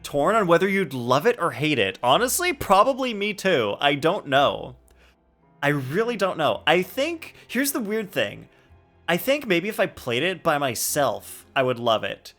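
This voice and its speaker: Animated man chatting on a game stream. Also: on the high side, unhurried, faint music behind. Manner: rambling, musing aloud. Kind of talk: casual live-stream chat and commentary.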